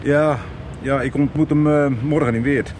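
A man's voice: on the high side, steady tone, normal speed, darkish tone, slight background noise, close to an everyday voice. An actor playing a secretive, irritable man in a radio soap, curt and evasive, with hesitations. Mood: wary.

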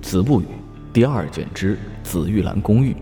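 A man reading ominous scripted trailer narration in a brooding, deliberate way. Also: fairly low pitch, animated, warm tone. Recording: music clearly behind the voice.

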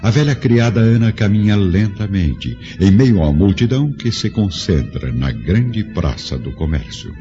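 A man's voice: fairly low pitch, lively intonation, measured pace, hardly any pauses, dark tone. A man narrating a radio drama from a script in a solemn, grandiose storytelling manner. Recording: dull lo-fi audio, prominent music behind.

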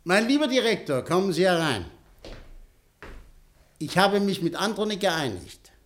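An effusive scheming tycoon. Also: male voice, high voice, unhurried, full character voice. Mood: pushy. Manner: effusive, bossy, lively. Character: scheming big industrialist, smooth persuader. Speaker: actor. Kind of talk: 1950s radio play acting.

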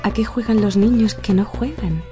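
An actress playing a pensive, insistent inner voice that asks questions in a poetic radio drama. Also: female voice, mid-range voice, normal speed, over music, natural voice.

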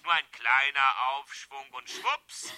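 An actor in a German radio drama playing a falsely courteous, mocking blackmailer with an oily, menacing manner. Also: male voice, medium pitch, expressive, slow pace, bright, forceful tone, clear, resonant tone, heavily acted. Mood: smug, mocking. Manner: oily, mock-polite, menacing.

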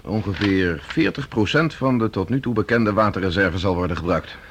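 An actor playing a cautious, sober professor with measured, reasoned delivery in a radio play. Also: male voice, lowish voice, fairly bright tone, slight background noise, lightly acted. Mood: matter-of-fact. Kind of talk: Dutch radio play dialogue.

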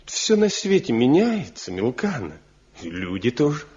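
An actor playing a proud, stubborn master woodcarver from a mountain village in a radio drama, speaking deliberately in folksy, declamatory phrases. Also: male voice, mid-range voice, huge pitch swings, darkish tone, slightly rough, exaggerated voice. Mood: reminiscing, wry. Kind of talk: Soviet radio drama acting. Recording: muffled 1970 radio play recording.

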